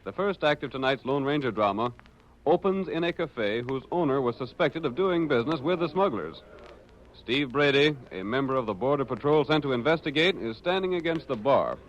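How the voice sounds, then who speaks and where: A man's voice: mid-range voice, expressive, a little fast. Theatrical old-time radio announcer, male. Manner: theatrical, declamatory. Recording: dull vintage radio recording.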